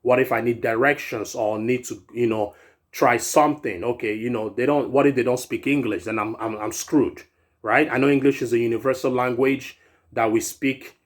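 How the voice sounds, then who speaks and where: Chatty motivational man in his thirties. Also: mid-range voice. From Europe. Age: 30s. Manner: chatty, repeats "right?" often. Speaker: fitness YouTuber. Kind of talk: casual motivational vlog talk.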